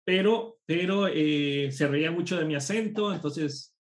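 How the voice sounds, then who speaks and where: A man from Costa Rica, a programmer and course instructor, telling lively personal stories as a guest in a casual interview. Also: on the high side, a little slow. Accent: none, a neutral Spanish. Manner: lively anecdotal storytelling.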